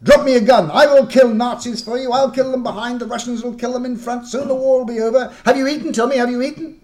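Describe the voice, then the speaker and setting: A man voicing a fierce, excitable middle-aged French farmer with a French accent who interrogates and shouts. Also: very high-pitched, fast, pitched above the performer's own voice. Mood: fiery.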